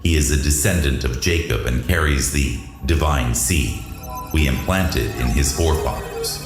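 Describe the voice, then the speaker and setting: Man acting very deep-voiced, deferential alien lieutenant. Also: normal speed, reverberant, over music. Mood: deferential, calculating. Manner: formal, obsequious. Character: deferential, scheming male lieutenant to an alien overlord. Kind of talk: science fiction audio drama acting.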